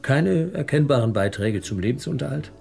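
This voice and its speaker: Tired wry producer in a radio play, gravelly, voice moves around a lot. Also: male voice, medium pitch, lively intonation, moderate pace, warm tone, faint music behind, full character voice. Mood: dryly courteous. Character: world-weary male theatre producer narrating his own downfall. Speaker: narrator and lead actor. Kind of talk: radio drama narration and dialogue.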